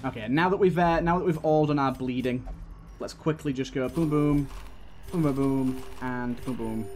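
A man's voice: medium pitch, normal speed, a little mellow, slight background noise. A man giving casual gameplay commentary, thinking aloud and talking to the game's characters. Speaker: gaming video host.

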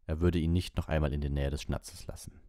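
Male audiobook streamer, deep and dark-toned, close dry mic. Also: level tone, fairly quick, no echo. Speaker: audiobook reader and livestream host. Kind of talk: story narration and casual livestream chat.

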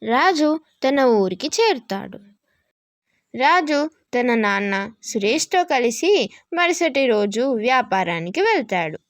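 Female narrator for kids' moral tales, very high voice, animated intonation, unhurried pace. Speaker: narrator of children's moral stories. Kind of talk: scripted storybook narration.

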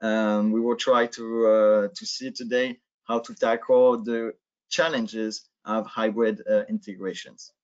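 A man's voice: on the low side, flat delivery, a little slow. A man in his thirties with a French accent, giving a webinar presentation on a video call through a headset, with many filler sounds. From France. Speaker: guest speaker, sales manager. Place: indoor office, video call. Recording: dull lo-fi video call audio.

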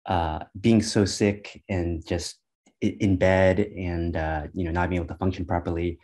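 Low-voiced, congested man, hesitant. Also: steady tone, normal speed, darkish tone. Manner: hesitant, many fillers and restarts. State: congested from a cold. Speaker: guest Bible teacher. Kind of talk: casual testimony. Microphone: video call.

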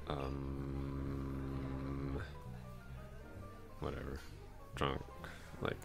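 A man playing a drunk, lovestruck coworker in an intimate ASMR roleplay, rambling bashfully, halting, repeating himself and putting himself down. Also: extremely low pitch, creaky, heavily acted.

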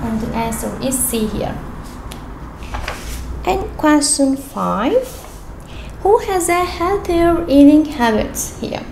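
A woman's voice: high voice, darkish tone, roomy sound, a little hiss or hum, rough recording. A woman teaching English, reading worksheet questions aloud and explaining each answer step by step. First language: Turkish. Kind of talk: worksheet walkthrough lesson. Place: indoors at a desk over a paper worksheet.

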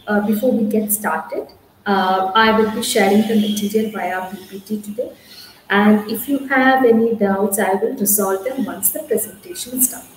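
A young woman with an Indian English accent, teaching a live online lesson from a small room, chatty and reassuring, with many fillers. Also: medium pitch. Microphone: laptop webcam mic on a video call. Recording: echoey room, faint background noise.

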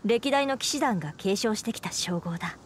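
A woman's voice: medium pitch, lively intonation, normal speed, slight background noise, lightly acted. A woman playing a dutiful young knight commander, formal and composed, in a Japanese anime game. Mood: earnest, reverent. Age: young adult.

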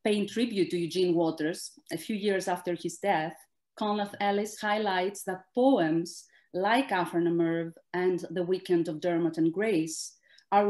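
A woman whose first language is Greek delivers a prepared academic lecture. Her manner is measured and formal, and she pauses often on small filler sounds. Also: medium pitch, a little mellow. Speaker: academic speaker.

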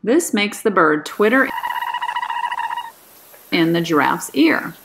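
A woman reading a children's picture book aloud as an expressive, deliberate narrator, putting dramatic emphasis on key words. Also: fairly low pitch, creaky, noisy background. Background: music behind the voice.

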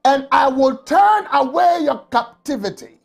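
A man preaching an emotional sermon from a small office on a lapel mic, often shouting and crying out. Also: very high-pitched, expressive, a little slow, gravelly, soft background music. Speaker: pastor.

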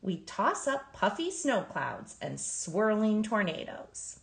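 A woman reading a children's picture book aloud in an expressive, emphatic storytelling manner. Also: mid-range voice, slow, vocal fry, reverberant. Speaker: storybook reader.

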